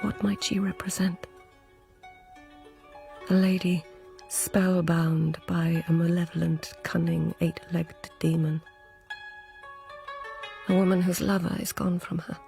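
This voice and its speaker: An actress playing a poised, observant, poetic court lady in a radio drama, with hushed, measured delivery. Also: lowish voice, gravelly. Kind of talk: radio drama acting and narration.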